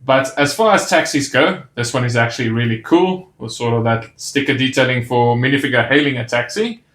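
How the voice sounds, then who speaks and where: A man's voice: medium pitch, brisk, a bit bright. A man narrating a casual toy set review, pointing out details with easy enthusiasm. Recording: roomy echo on the voice.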